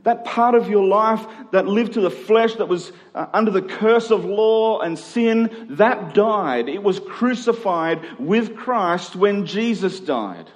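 A man preaching a sermon as a pastor, swinging between emphatic and hushed delivery with rhetorical questions. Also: sits high, expressive, slow pace, few pauses. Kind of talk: sermon to a congregation. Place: large reverberant church hall. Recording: long hall reverb.

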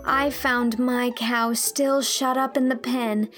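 A woman's voice: on the high side, level tone, slow pace, fairly bright tone, faint music behind, voice pushed up. A woman voicing an earnest, impulsive sixteen-year-old girl in an audiobook, speaking politely and confessionally. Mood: contrite confession. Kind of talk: audiobook character dialogue.

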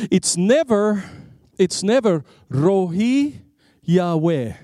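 High-voiced, slow-preaching man evangelist. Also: a little mellow, no echo, studio quality. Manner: emphatic, repeats words, swings between rising and low dropped phrases; explains Hebrew names of God with rhetorical questions. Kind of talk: sermon.

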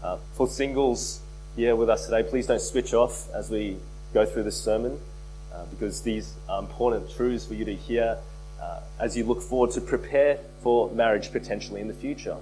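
A man preaching a sermon as pastor, hoarse from a cold, earnest and repeating key phrases for emphasis. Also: on the low side, moderate pace, dark tone, slight background noise, low-quality recording. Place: church.

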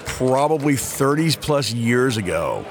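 A man's voice: mid-range voice, very animated, takes it slowly. A man in his fifties, a store owner chatting casually in an interview, rambling and repeating words as he starts sentences. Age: 50s. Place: convention hall. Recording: busy convention crowd behind.

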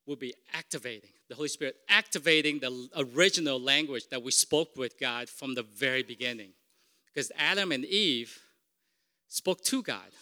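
A man's voice: medium pitch, pushed and bright. A middle-aged man whose first language is Vietnamese, preaching an informal sermon in a conversational, self-correcting way and checking in with the congregation. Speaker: pastor.

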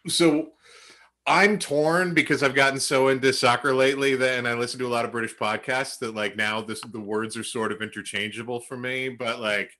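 A man's voice: mid-range voice, steady tone, fairly quick, sharp tone. A man in his thirties from Canada, hosting a podcast, rambling, self-deprecating and laughing a lot. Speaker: podcast host. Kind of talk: casual podcast chat.

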